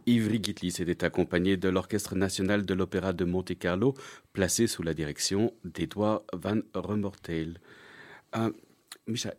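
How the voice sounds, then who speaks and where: A man presenting and interviewing in a measured, formal way. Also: low voice, a little mellow. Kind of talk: radio interview and announcing.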